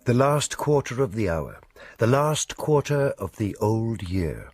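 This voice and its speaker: An actor playing a sardonic storybook narrator in a radio drama, with a husky voice and dramatic delivery. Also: male voice, lowish voice, very animated, measured pace, darkish tone, faint music behind, full character voice. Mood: ominous, wry.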